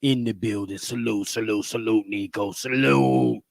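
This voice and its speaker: A man's voice: lowish voice, fairly even intonation, normal speed, gravelly, slight background noise. A man hosting a podcast livestream in a casual, emphatic way, repeating his greetings and catchphrases. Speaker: podcast host.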